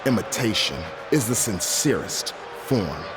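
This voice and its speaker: An actor playing an arrogant, flamboyant wrestler in a video game, talking grandly of himself in the third person. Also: male voice, fairly low pitch, big pitch swings, unhurried. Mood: contemptuous. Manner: theatrical, speaks of self in third person. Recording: loud crowd noise, arena.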